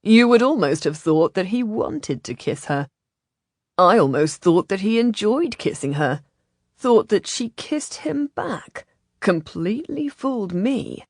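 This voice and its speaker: A woman's voice: mid-range voice, big pitch swings, measured pace, no room sound, clean studio sound. A woman voicing a teasing young man, wry and playful, in an audiobook. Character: cheeky, teasing young male companion.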